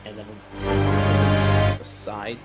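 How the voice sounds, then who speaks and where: Guy doing a hands on toy review, slow and halting, repeats himself, music playing behind. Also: on the low side, monotone.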